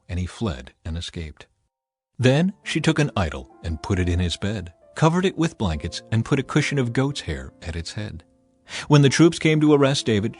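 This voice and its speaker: A man narrating a dramatized scripture reading in a measured, solemn storytelling manner. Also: on the low side.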